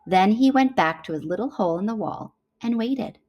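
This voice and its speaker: A woman reading a children's picture book aloud in an animated storytelling manner. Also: medium pitch, normal speed, muffled recording.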